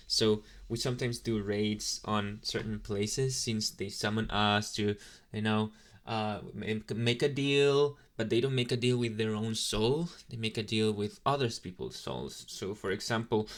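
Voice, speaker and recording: A man's voice: lowish voice, measured pace, a bit bright. Man playing a rambling, apologetic young demon intern. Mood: offhand, amused. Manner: rambling, hesitant, casually chatty with slangy asides. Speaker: ASMR roleplay performer. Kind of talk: ASMR boyfriend roleplay, addressing the listener.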